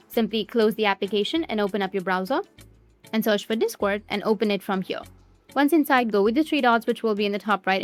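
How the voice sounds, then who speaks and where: A woman giving step-by-step instructional narration for a software tutorial, with repetitive phrasing. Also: mid-range voice. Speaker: tutorial presenter.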